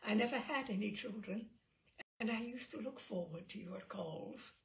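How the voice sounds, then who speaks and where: A woman voicing a patient, kind female telephone operator in a storybook reading, speaking softly, quietly and clearly. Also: medium pitch, moderate pace, close to an everyday voice. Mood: quiet, tender. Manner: soft-spoken, clear, quiet. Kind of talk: storybook read-aloud.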